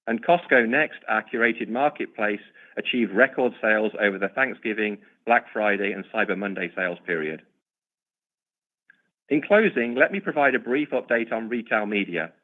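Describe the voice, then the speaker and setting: A man speaking as a company executive, giving formal, measured prepared business remarks. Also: lowish voice. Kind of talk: prepared corporate earnings remarks. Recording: narrow, tinny conference-call line.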